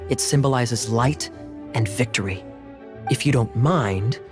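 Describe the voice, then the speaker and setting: A man voicing a suave, teasing young knight captain in a video game, speaking smoothly and playfully. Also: mid-range voice, natural voice. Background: game music.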